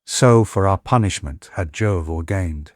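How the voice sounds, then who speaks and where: A man's voice: low-pitched, measured pace, darkish tone, no room sound, studio quality. An actor playing a grave, long-winded old warrior king, recounting war in stately oratory for an epic audiobook drama.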